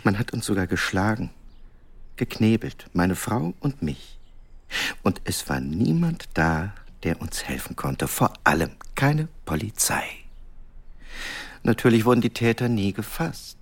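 An actor playing a reproachful, distrustful middle-class husband in a radio drama, speaking formally and pointedly. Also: male voice, lowish voice, big pitch swings, measured pace, slightly rough, heavily acted. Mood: bitter, reproachful. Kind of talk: naturalistic German radio drama acting.

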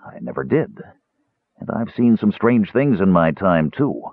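A man playing a big, brooding, weary warrior with a deep, gruff and stern voice in a storybook reading. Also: animated, measured pace, no echo, phone-quality sound. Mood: awed, subdued.

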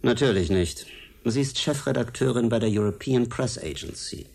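An actor in a German radio drama playing a smug, menacing state security agent with a mock-polite, needling manner. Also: male voice, medium pitch, expressive, moderate pace, gravelly, darkish tone, breathy edge. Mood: quietly menacing.

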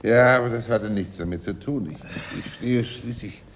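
Actor as a gravelly, roguish old rascal. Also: male voice, lowish voice, normal speed, natural voice. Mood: roguish, hearty. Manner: effusive, roguish. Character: Barney Dell, roguish hard-drinking old male sinner, theatrical. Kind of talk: German radio crime drama acting. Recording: muffled radio drama recording, faint noise.

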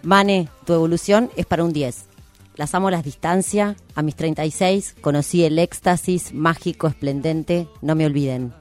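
A woman's voice: sits low, moderate pace, a little mellow, faint music behind. An Argentine woman hosting a casual live radio show, talking in a playful, informal way.

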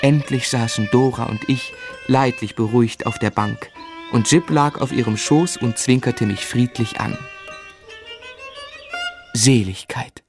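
A male actor plays an ardent young gentleman telling his story in a radio play. His earnest, expressive literary delivery has an average male pitch, with music clearly heard behind it. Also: measured pace, long pauses, close to an everyday voice. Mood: rapturous. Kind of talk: literary first-person narration and German radio drama acting.

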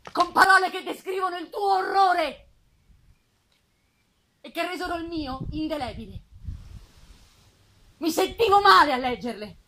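A woman voicing a lonely, self-loathing male creature in a dramatic literary reading, eloquent and bitter, with measured, solemn phrasing. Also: extremely high pitch. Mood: anguished, accusing. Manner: measured, solemn phrasing with emphatic swells and hushed drops. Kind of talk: dramatic literary reading, live theatrical performance. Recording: live festival recording with faint noise.

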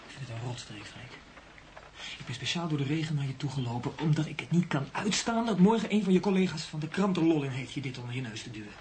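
An actor playing an excitable, admiring young aspiring writer in a Dutch radio drama, earnest and emphatic with his friend. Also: fairly high pitch, quick pace, a little hiss or hum, close to an everyday voice. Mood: indignant.

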